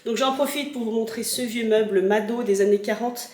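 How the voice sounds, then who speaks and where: A woman's voice: a little high, fairly even intonation, fairly quick, darkish tone, roomy sound. A woman in her thirties with a cold, chatting and rambling through a casual unboxing in her home kitchen. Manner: chatty, rambling, many fillers. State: stuffy from a cold. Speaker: vlogger.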